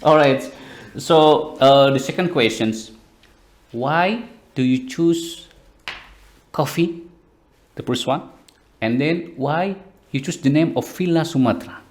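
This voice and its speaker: A man hosting a podcast interview, asking questions in hesitant, halting phrasing. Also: fairly high pitch. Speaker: podcast host.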